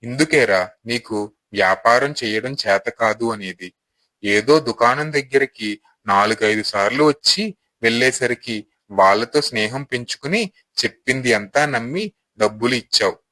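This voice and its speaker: A man's voice: medium pitch, lively intonation, moderate pace, bright, forceful tone, no echo, low-quality recording. A man playing a stern, indignant shopkeeper father in a moral story, scolding as he talks. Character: stern, indignant father, a village shop owner.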